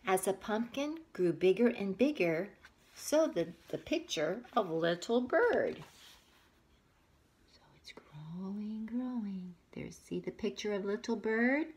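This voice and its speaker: A woman teacher reading a picture book aloud to young children, expressively, with breathy asides and questions to her listeners. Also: mid-range voice, lively intonation, takes it slowly, a little mellow.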